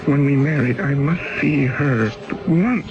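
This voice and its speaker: A man's voice: medium pitch, measured pace, natural voice. An actor in his twenties playing a young male convict who pleads and stammers in a 1970s radio drama. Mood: despairing. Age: 20s. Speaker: convict in exile. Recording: dull old radio recording.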